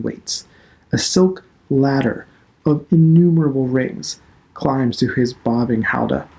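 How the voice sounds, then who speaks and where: Man, mid-pitched, creaky, expressive literary narration, deliberate delivery. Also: low-quality recording. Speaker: literature instructor reading aloud.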